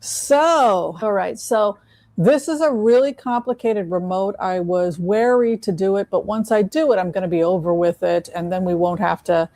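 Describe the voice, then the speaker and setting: A woman hosting a casual livestream as a psychic reader, talking in a halting, repetitive way. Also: mid-range voice, dark tone, no echo. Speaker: host, psychic tarot reader.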